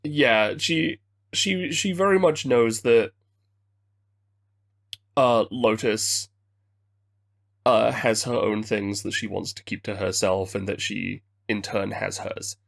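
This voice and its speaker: A man playing a tabletop game, narrating his character in the third person in a hesitant, deliberate way. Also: fairly low pitch, gravelly.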